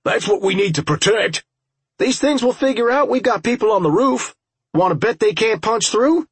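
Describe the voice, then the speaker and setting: Man as a hard-edged monster hunter, yelling high. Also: animated, moderate pace, slightly rough, no room sound, full character voice. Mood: urgent. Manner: yelling terse commands. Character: hard-edged male monster-hunting fighter, urgent and foul-mouthed. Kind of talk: audiobook character voice.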